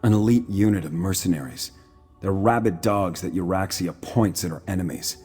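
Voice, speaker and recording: An actor playing a proud, shrewd Imperial military commander in a video game, speaking formally and with dry self-assurance. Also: male voice, deep voice, moderate pace, a little mellow, husky. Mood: indignant, grim. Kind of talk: video game character dialogue. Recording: music playing behind the voice.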